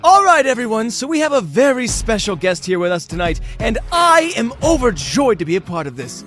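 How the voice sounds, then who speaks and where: A man's voice: extremely high pitch, big pitch swings, a little fast, fairly bright tone, soft background music, heavily acted. A man playing a flamboyant, gushing game show host in a sci-fi audio drama, with a theatrical showman's delivery. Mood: overjoyed, grand.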